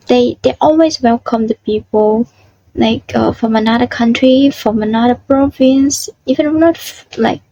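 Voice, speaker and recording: A young woman from southern Vietnam answers questions in earnest, simple English with a Vietnamese accent. She talks slowly and haltingly, with many ums and restarts. Her voice is a little high and sounds close and dry. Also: warm tone, no room sound, lo-fi sound. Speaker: interviewee.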